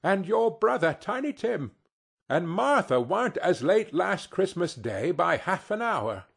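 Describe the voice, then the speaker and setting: Man playing working-class Victorian mother, raised pitch, bustling, fussing, affectionate, homely, storybook. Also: very animated, unhurried, creaky, a bit bright, slightly rough, exaggerated voice. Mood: impatient fussing.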